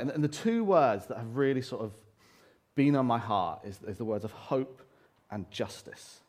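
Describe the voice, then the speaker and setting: Man, preacher, loud voice, mid pitch, earnest, halting restarts, church microphone. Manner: earnest, with frequent fillers and restarts. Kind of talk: church sermon. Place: church hall, live congregation. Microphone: handheld or stand microphone.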